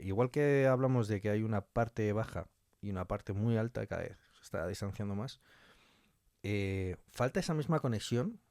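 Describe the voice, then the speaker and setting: A man's voice: on the low side, darkish tone, dry recording, studio quality. A man from talking casually and colloquially as an interview guest, giving his opinions.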